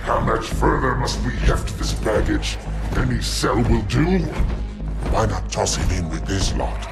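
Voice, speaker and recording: An actor voicing a coarse, grumbling alien brute soldier in a game cutscene. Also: male voice, fairly low pitch, measured pace, loud music behind the voice, lightly acted. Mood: impatient. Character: hungry savage alien brute soldier, male.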